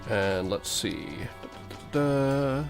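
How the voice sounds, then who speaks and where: Man, game master, mid pitch, improvised narration, matter-of-fact, slightly hesitant, music behind. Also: slow pace, vocal fry.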